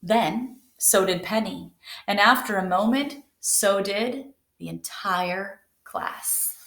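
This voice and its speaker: A woman reading a children's picture book aloud in a gentle, unhurried way. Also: medium pitch, creaky. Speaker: storybook reader. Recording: echoey room.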